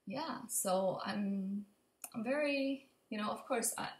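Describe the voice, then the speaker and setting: A young woman with a slightly raspy voice from allergies gives a casual, unscripted update to camera in a hesitant, rambling way. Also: mid-range voice, slow. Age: young adult. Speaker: vlogger. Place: indoor open kitchen and living room. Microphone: computer mic at desk distance. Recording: echoey room, computer mic.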